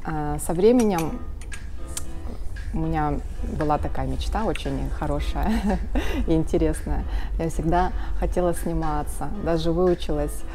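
A woman's voice: low-pitched, measured pace, warm tone. Russian woman chatting, music behind. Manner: rambling, many filler sounds, self-corrections. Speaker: guest, video blogger. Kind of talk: casual interview. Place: small indoor room with sofas. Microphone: camera-mounted shotgun mic beside her.

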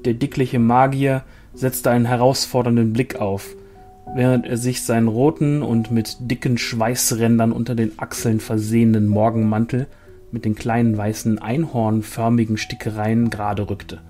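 A man in a middle register reads a fantasy story calmly and evenly. His narration sounds scripted and composed, while soft guitar music plays in the background. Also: medium pitch. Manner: calm, measured reading.

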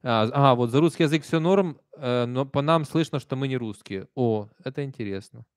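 A man's voice: medium pitch, a little fast, clean tone, no room sound. Ukrainian man with Kyiv accent, dry close mic. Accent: Kyiv Russian. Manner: conversational, emphatic, soft-spoken asides. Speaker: streamer reading viewer comments. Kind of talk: live stream chat. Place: small room indoors. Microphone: desk stand mic close up.

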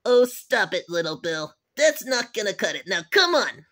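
A woman's voice: mid-range voice, big pitch swings, normal speed, fairly bright tone. A woman voicing an indignant, tattling young schoolboy in an amateur cartoon. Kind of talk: amateur animated video dialogue.

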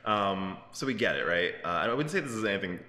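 Man in his thirties, low pitch, casual tech chat, conversational, roomy sound, faint background noise. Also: sing-song, fast, sharp tone. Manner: self-interrupting, conversational, seeks agreement with "right?". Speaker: co-host of a tech news show. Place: open-plan office, indoors on a couch.

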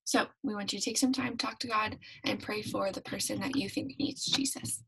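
A woman's voice: medium pitch, steady tone, normal speed, creaky, fairly bright tone, husky, low-quality recording. A young woman leading a church devotional from her bedroom, telling a Bible story in a friendly way with many ums, on a webcam. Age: young adult. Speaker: devotion leader. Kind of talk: youth devotional teaching. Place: bedroom at home. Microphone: webcam at desk.

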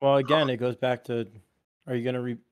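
A man's voice: medium pitch, flat delivery, fast talker, slight background noise. A man in his late twenties chatting casually on a podcast, rambling and arguing his point with plenty of fillers. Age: 20s. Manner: rambling, argumentative, many fillers. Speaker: podcast co-host. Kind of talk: casual chat.

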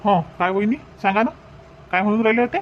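Fast-talking, practical village uncle played by a man. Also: high-pitched, natural voice. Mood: reassuring, practical. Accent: Varhadi Marathi. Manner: insistent, matter-of-fact. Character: practical, hospitable village uncle, firm husband. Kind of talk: cartoon comedy dialogue. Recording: dull lo-fi sound, faint noise.

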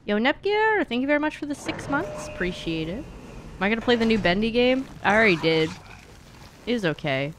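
A woman's voice: fairly high pitch, big pitch swings, a little fast, noisy background. A young woman giving casual live gaming commentary in a playful, slangy, chatty way. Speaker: streamer. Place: indoor streaming room. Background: horror game sounds.

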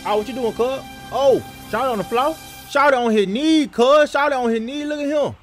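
A high-voiced man dubs basketball footage for comedy. He talks in slangy, swear-filled trash talk, with music playing behind him. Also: brisk. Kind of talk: comedic dubbing of basketball players and referees.

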